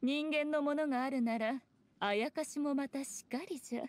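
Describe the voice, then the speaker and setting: Haughty, elegant high-voiced fox shrine maiden actress. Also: female voice, moderate pace, darkish tone, no echo, close to an everyday voice. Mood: composed, explaining. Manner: haughty, composed, archaic noble diction. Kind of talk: Japanese video game acting.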